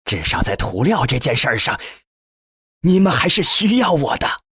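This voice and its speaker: A man voicing an ailing older male professor in an audiobook, breathy and slightly strained, eager to be useful. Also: fairly high pitch, very animated, normal speed, sharp tone, no echo, studio quality, heavily acted.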